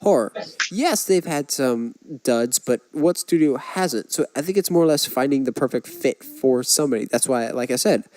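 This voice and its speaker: A man's voice: mid-range voice, lively intonation, normal speed, darkish tone, no room sound, studio quality. A man co-hosting a podcast, casually discussing films and giving his opinions with examples. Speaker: podcast co-host.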